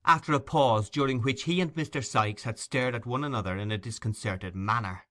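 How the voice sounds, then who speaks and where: A male audiobook narrator with a bright, forceful voice at a medium pitch. He reads scripted storybook prose in a dramatic storytelling style. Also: brisk.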